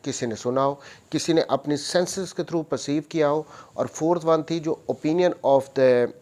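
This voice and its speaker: Slow-paced Pakistani man giving a lecture. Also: fairly high pitch, a little mellow. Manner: methodical, repeats and rephrases each point. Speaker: law lecturer. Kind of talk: explanatory lecture, reading statute clauses and explaining them.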